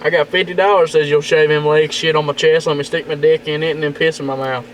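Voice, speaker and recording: A man's voice: on the high side, level tone, quick pace. A man goading and taunting someone in crude prank chat over a CB radio, often repeating what others say. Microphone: CB radio handset. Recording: loud static over CB radio.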